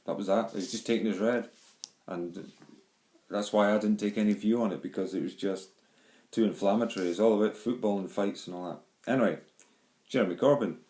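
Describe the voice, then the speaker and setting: A man's voice: on the low side, a little fast, a little mellow. A middle-aged man from Glasgow with a Glaswegian Scottish accent, giving a rambling home video monologue with many ums and restarts. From Scotland. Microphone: handheld phone or camera. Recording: echoey room.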